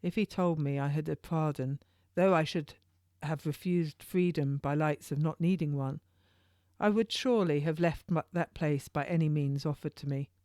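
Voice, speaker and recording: A woman's voice: low voice, steady tone, moderate pace, a little mellow, no room sound, clean studio sound. A woman author reading her own historical novel as first-person narration, in an informal read-aloud way with occasional stumbles.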